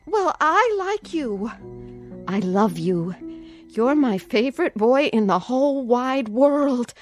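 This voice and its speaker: An actress playing an anxious, doting aunt in a radio drama, sweetly coaxing and nervously repeating herself. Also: female voice, on the high side, big pitch swings, takes it slowly, soft background music. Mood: pleading. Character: anxious, doting aunt placating a feared nephew.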